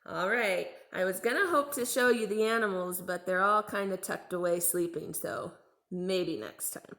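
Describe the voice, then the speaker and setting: A woman's voice: on the low side, moderate pace, a bit bright. A woman teacher reading a children's storybook aloud expressively. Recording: echoey room.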